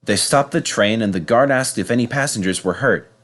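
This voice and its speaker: A man reading a children's story aloud in an animated, scripted narration style. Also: medium pitch, a little fast. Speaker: storybook reader.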